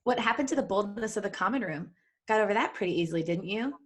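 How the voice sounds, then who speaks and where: A woman's voice: medium pitch, animated, fast talker, breathy edge. A woman reading the part of a flirtatious, bold witch from a fan story in a frank, teasing manner.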